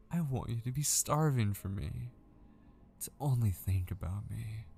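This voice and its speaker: A man playing an obsessive, possessive kidnapper in an intimate ASMR roleplay, gleefully menacing and patient, slow and teasing, drifting toward whispers. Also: warm tone, a little hiss or hum. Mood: obsessive, coaxing. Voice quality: low, creaky.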